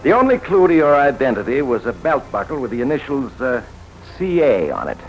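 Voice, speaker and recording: An actor plays a calm American physician, patient and tactful, who hesitates a little as he explains. His mid-pitched male voice moves expressively up and down. The sound is an old 1940s radio drama with faint music. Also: muffled recording, natural voice. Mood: calm, explanatory. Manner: measured, hesitant with soft "uh"s.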